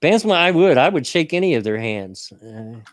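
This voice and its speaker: A man's voice: medium pitch, sing-song, normal speed, no room sound. This is an older man with a scratchy voice and a mixed Hoosier-Kentucky accent. He chats loosely and laughs easily on a stream, his voice close and dry. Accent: Southern Indiana and Kentucky. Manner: laughs often, folksy. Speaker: show host. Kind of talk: casual stream chat.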